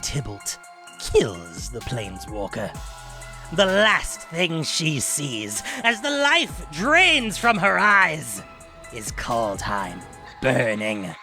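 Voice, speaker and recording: A gleefully wicked devil storyteller, played by a man, recounts a fantasy saga over music. The delivery swoops widely, sly and grandiose, lingering on choice words with theatrical pauses. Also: medium pitch, huge pitch swings, sharp tone, exaggerated voice. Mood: gloating, menacing.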